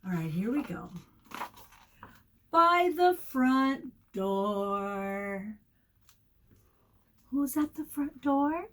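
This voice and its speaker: A woman reads to young children as their teacher, in a highish, lively voice that swings up and down. She goes extremely slowly, repeating key words deliberately. She often stops to ask the children questions and encourage them. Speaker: preschool teacher. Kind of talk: storytime read-aloud lesson for young children.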